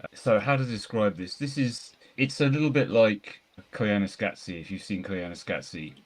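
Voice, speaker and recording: Low-pitched male podcast co-host, rambling, enthusiastic film chat. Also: moderate pace. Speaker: podcast co-host reviewing films. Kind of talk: casual film discussion.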